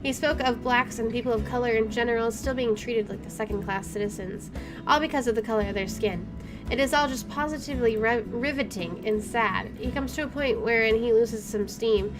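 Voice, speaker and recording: A woman with a fairly high voice hosts a let's play of a story game. She reads narration aloud and drops in casual comments, with game music clearly behind her. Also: a little fast. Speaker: gaming video host.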